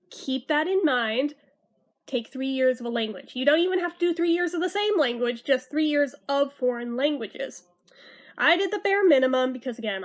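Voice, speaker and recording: A young woman from California with an American accent and a high-pitched voice talks in a chatty, informal way. A slight lisp shows in her speech as she gives advice straight to her viewers. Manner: chatty, informal, self-deprecating. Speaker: vlogger giving school advice. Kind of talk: casual advice talk to camera.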